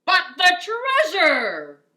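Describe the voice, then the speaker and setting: A woman voicing a blustering male pirate captain from a storybook, bellowing in drawn-out, old-fashioned pirate talk. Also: very high voice, wildly swooping pitch, sharp tone, voice pushed up, heavily acted. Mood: alarmed. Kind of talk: storybook character voice.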